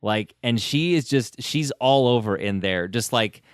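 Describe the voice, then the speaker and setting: A man's voice: mid-range voice, animated, measured pace, smooth, dry recording, studio quality. A man hosting a podcast in a casual, conversational way, chatty and enthusiastic. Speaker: podcast host.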